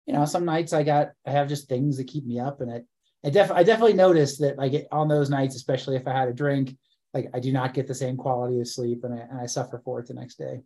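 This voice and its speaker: A man's voice: mid-range voice, steady tone, fast, mellow. A man in his forties hosting a casual interview, friendly, often repeating words as he starts sentences. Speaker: podcast host.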